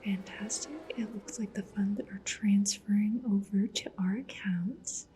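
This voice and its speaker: A woman's voice: medium pitch, fairly even intonation, unhurried, slight background noise, lightly acted. Woman playing a whispering, discreet matchmaker. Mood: calm, businesslike. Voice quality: breathy whisper. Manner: gentle, professional, attentive. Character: polite, discreet cyberpunk matchmaker woman interviewing a client. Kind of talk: soft-spoken ASMR roleplay.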